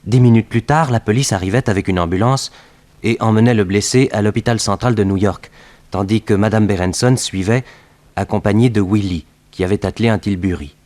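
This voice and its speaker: A man narrating a 1960s French radio detective serial in a formal, measured, sober way. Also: lowish voice, darkish tone, lightly acted.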